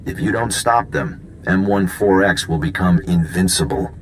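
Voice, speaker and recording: An actor playing a brisk, wry secret agent in a video game, matter-of-fact and urgent. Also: male voice, low voice, a little hiss or hum, lightly acted, low-quality recording. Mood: focused, matter-of-fact.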